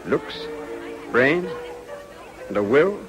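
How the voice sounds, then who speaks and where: A man playing an eager, ambitious upper-class gentleman in a period radio drama, confident and courtly. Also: mid-range voice, sing-song, very slow, faint music behind, exaggerated voice.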